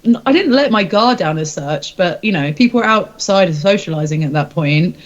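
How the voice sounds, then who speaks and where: A woman's voice: lowish voice, lively intonation, brisk, muffled recording. A woman speaking as a singer being interviewed, informal and reflective, feeling her way through candid answers. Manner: informal, hesitant, self-reflective.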